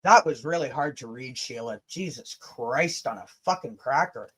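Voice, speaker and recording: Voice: mid-range voice, expressive, normal speed, a bit bright, slightly rough. Sweary, blunt livestreamer. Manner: stumbles and rereads when reading aloud. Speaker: livestream host. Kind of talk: casual livestream chat and reading emails aloud.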